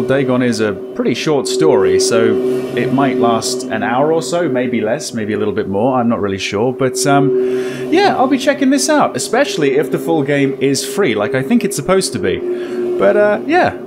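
A man's voice: mid-range voice, very noisy. A man in his thirties giving casual let's play commentary with wry, reactive asides, as host of a gaming video. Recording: loud game music behind. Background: game music.

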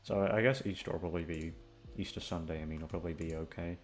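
A man's voice: low voice, fast talker. A young man chatting casually and hesitantly to his listeners, rambling, swearing and putting himself down. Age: young adult. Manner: hesitant, self-deprecating, sweary. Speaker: audio roleplay voice actor chatting to listeners. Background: music.

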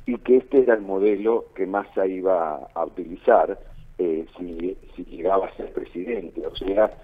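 Deep-voiced Argentine man on phone-like line. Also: normal speed, husky. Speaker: political analyst being interviewed. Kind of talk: radio interview analysis. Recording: narrow phone-like line, muffled.

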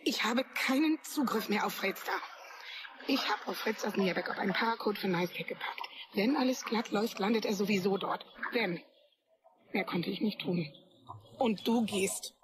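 A woman's voice: medium pitch, normal speed, noisy background, close to an everyday voice. An actress playing a grim, commanding female hacker in a German sci-fi audio drama, speaking tersely and urgently. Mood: hushed, insistent. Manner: terse, commanding, guilt-ridden. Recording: loud music bed under dialogue.

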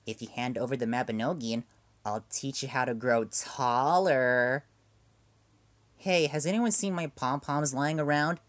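A man's voice: mid-range voice, a little slow, a bit bright, no echo, pitched below the performer's own voice, lightly acted. A man doing a mocking teen girl. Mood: teasing. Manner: mocking, teasing. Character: sharp-tongued teen girl.

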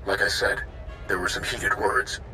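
Game role: hawkish veteran-style warrior, intensely forceful, deliberate, some music beneath. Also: male voice, fairly low pitch. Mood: grim. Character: wary, hawkish male Mandalorian warrior advisor. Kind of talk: dramatic video game acting.

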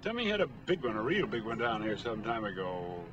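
An actor playing a smooth-talking middle-aged drifter who asks questions, in 1940s film acting style. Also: male voice, on the low side, big pitch swings, brisk. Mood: friendly, probing. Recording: muffled, noisy 1940s film soundtrack.

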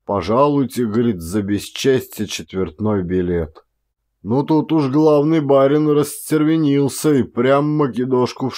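A man's voice: mid-range voice, darkish tone, natural voice. A male actor voicing a talkative, wry village peasant who tells his tale in a folksy, exclamatory way, for an audiobook. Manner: folksy, exclamatory storytelling with drawn-out pauses. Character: garrulous rustic village peasant man, marvelling and wry. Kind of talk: dramatised audiobook monologue. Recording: music under the voice.

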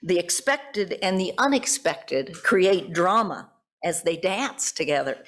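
A woman's voice: lowish voice, big pitch swings, takes it slowly. A middle-aged American woman delivering a reflective sermon from a church pulpit, phrase by phrase, putting questions to the congregation. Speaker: pastor preaching. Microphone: gooseneck pulpit microphone.

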